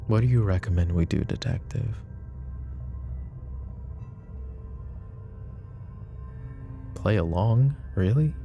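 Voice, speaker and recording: A man playing a protective, possessive mafia boss in an intimate roleplay ASMR, speaking deliberately and broodingly straight to the listener. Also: low-pitched, vocal fry, mellow. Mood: guarded, questioning.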